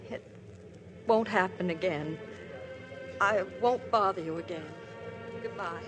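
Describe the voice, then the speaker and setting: A woman's voice: lowish voice, slow pace, loud music behind the voice. An actress playing a married woman in love with a priest, speaking in an intense, emotional, pleading way in a 1950s radio drama. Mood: hurt.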